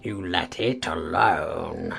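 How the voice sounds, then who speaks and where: Croaky, drawling very old frog. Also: male voice, fairly bright tone, faint music behind, heavily acted. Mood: grumbling, sluggish. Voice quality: deep, hoarse, croaky whisper. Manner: slow drawl, muttering, rustic grammar. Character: very old grumbling frog in big boots. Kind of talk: dramatized audiobook character acting.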